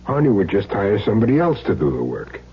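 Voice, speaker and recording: A man's voice: on the low side, wildly swooping pitch, fast talker, husky, heavily acted. An American man acting a cagey small-time hired gun in an old radio crime drama, streetwise and earnestly insisting he is clean. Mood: earnest, self-justifying. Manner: streetwise, plain slangy talk, earnest self-justifying. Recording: dull, noisy old radio recording with hum.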